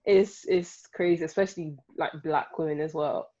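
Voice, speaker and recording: A woman's voice: sits low, steady tone, normal speed, mellow, dull audio. A woman in her early twenties, a UI developer guest, chatting casually on a podcast and laughing often. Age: 20s.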